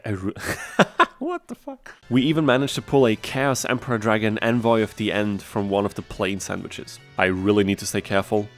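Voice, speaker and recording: The man narrating a long video game challenge on a streaming site, wry asides dropped in over soft game music. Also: medium pitch. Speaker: gaming video host. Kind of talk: scripted challenge-run narration. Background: background music.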